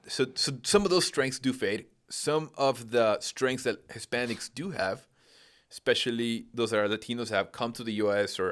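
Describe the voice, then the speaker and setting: A man in his thirties, originally from Peru, speaking in a steady mid-range voice. He explains earnestly and checks in with rhetorical questions as he talks, the pitch sitting right in the middle for a man. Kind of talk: casual conversational explaining.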